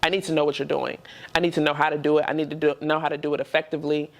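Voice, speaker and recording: A woman's voice: deep voice, level tone, racing. A woman in her thirties, a podcast guest and entrepreneur, talking through her experiences in a reflective, conversational way. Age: 30s. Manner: reflective, conversational, frequent "you know what I'm saying". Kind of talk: casual interview conversation.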